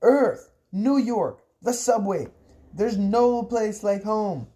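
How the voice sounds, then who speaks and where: A man's voice: high-pitched, expressive, measured pace, dark tone, pitched above the performer's own voice. A man voicing an angry, scared, stubborn teenage boy in an audiobook, indignant and demanding. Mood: desperate yelling.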